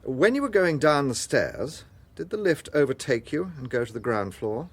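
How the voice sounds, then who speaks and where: Male, English as a courteous, shrewd gentleman inspector for radio drama; wry, very varied pitch, slightly husky. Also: big pitch swings. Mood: firm, questioning. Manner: measured, understated, gently wry. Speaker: actor in a detective radio drama. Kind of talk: British radio drama acting.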